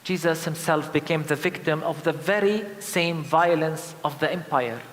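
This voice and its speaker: A man from Palestine preaching a sermon of lament as a pastor, solemn and emphatic, with deliberate repeated phrases. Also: a little high. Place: large indoor church. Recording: reverberant church hall sound.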